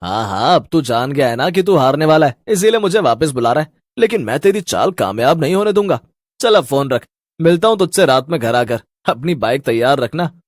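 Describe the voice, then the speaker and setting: A man's voice: on the high side, big pitch swings, brisk, no room sound, clean studio sound. A man voicing a cocky skeptic who scoffs and boasts in a Hindi cartoon story. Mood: boastful.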